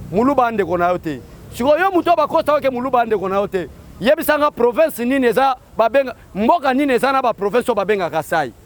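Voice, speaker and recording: A man with a Congolese accent giving a rousing street speech to supporters, shouting out calls for the crowd to answer. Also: very high voice, a little hiss or hum. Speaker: political activist addressing supporters. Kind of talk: rally speech with call-and-response.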